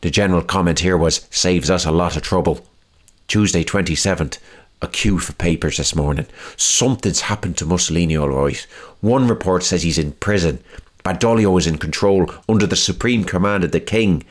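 A man with a British accent playing a measured, observant wartime army corporal, reading diary entries aloud. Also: low voice. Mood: measured, curious.

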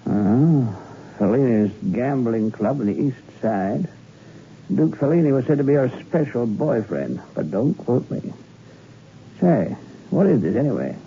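An actor playing a cynical, worldly crime editor, slangy and confiding, in a 1960s radio drama. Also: male voice, medium pitch, very animated, a little slow. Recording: dull, faintly noisy radio recording.

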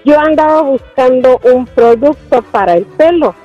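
A woman's voice: sits high, measured pace, soft background music. A woman calling in to a radio show, telling a story with small hesitations. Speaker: caller. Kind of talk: phone-in story. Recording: dull phone line on radio.

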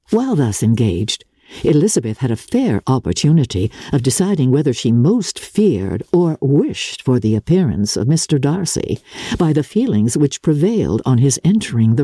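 A woman with a husky voice giving a measured, formal and expressive dramatic reading of classic literature as an audiobook narrator. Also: very deep voice, dry recording, clean studio sound.

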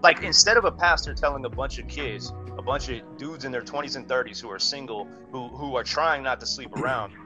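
A man giving his opinions in a casual, slangy podcast conversation, animated and emphatic, often saying "bro". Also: mid-range voice, a little fast, hardly any pauses, over music. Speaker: podcast guest.

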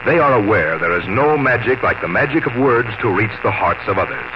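A man announcing on 1950s radio, reading scripted sponsor copy in a polished, deliberate, earnest way. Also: mid-range voice, expressive. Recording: noisy, dull 1950s radio recording.

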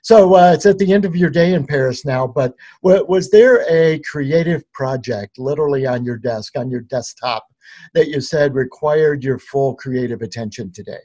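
A man's voice: mid-range voice, moderate pace, mellow. A man hosting a podcast interview, upbeat and promotional in tone. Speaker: podcast host.

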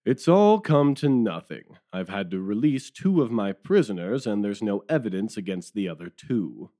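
Man playing a deep, animated, hearty police detective. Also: moderate pace. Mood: businesslike. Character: hearty, blustering police detective, bossy but agreeable. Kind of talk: audio drama acting.

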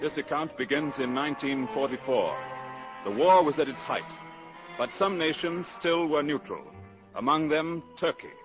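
Guy as old radio spy story narrator, measured and serious, dull sound, music behind. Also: fairly high pitch, natural voice. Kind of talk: 1950s radio drama narration.

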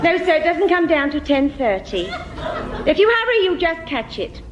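An actress playing brisk, officious English women on the telephone in a British sitcom, matter-of-fact and easily impatient. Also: female voice, sits high, sing-song, crowd noise. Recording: muffled, noisy old radio recording.

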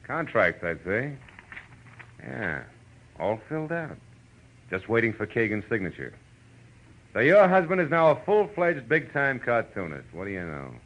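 An actor playing a sardonic, pushy American private eye in old-time radio noir, with clipped, slangy, wisecracking delivery. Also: male voice, mid-range voice, big pitch swings, a little slow, long pauses, fairly bright tone, heavily acted. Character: hard-boiled, sardonic male private eye, pushy and dogged. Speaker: detective lead and first-person narrator. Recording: muffled old radio recording, music behind.

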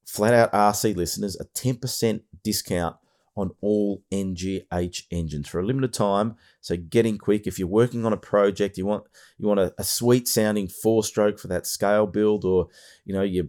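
Middle-aged Aussie podcast host, very deep and hoarse, chatty and rambling. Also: male voice, steady tone, moderate pace, a little mellow. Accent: Australian. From Australia. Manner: chatty, rambling with many fillers and restarts. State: hoarse, losing his voice. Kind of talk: casual podcast monologue.

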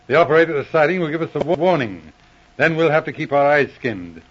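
Brisk police inspector, husky, muffled. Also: male voice, fairly high pitch, lively intonation. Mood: businesslike. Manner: clipped, commanding. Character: brisk Mountie inspector in command, plain-spoken and earnest. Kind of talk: 1940s radio drama acting. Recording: dull vintage radio recording.

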